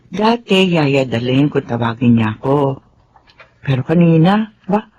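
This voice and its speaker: An actress playing a loyal, widowed middle-aged housemaid in her forties who speaks gently and motherly. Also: a bass voice, lively intonation, a little slow, full character voice. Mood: worried. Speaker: radio drama actor. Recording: dull lo-fi radio recording.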